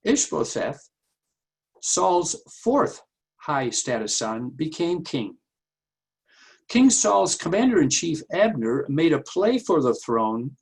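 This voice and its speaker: A man giving a prepared introduction to a Bible story in a measured, explanatory way, as a church reader. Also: fairly high pitch, slightly rough, poor audio.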